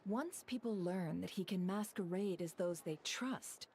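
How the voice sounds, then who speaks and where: A woman playing a shrewd, composed strategist in a game, speaking in a deliberate, articulate, analytical manner. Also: on the low side, darkish tone, slight background noise. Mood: measured warning. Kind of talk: scripted game dialogue.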